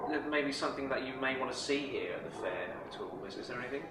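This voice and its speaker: Quick, upbeat male interviewer. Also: medium pitch, lively intonation, recorded from a distance. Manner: quick, upbeat, brief acknowledgements. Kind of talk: event interview. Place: busy drum fair hall. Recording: echoey hall, crowd noise.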